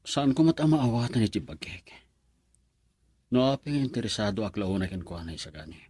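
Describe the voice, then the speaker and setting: An actor playing a pensive young man in a radio drama, speaking in a low-key, thoughtful way. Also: moderate pace, dark tone, natural voice.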